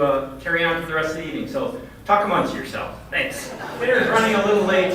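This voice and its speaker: A man speaking as the host of a community event, giving a formal welcome speech that is partly read from notes. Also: a little high. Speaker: event host, foundation chair. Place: large echoing indoor banquet venue. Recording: very echoey hall, distant mic, background noise.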